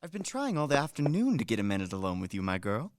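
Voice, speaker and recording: A man's voice: lowish voice, huge pitch swings, fast talker, no room sound, clean studio sound. A man in a radio play acting a shrewd, self-important manservant who coaxes and lectures with emphasis. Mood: confiding, coaxing. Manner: wheedling, instructing, emphatic.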